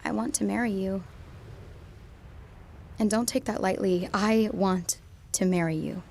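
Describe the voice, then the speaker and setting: A woman performs a high school girl in a radio play, deeply in love and unsure of herself. Her medium-pitched voice is emotional and searching, leaning hard on her words. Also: measured pace, creaky, a little mellow, slight background noise. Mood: tender, intense. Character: lovestruck, intense high school girl, self-doubting. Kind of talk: dramatic audio monologue.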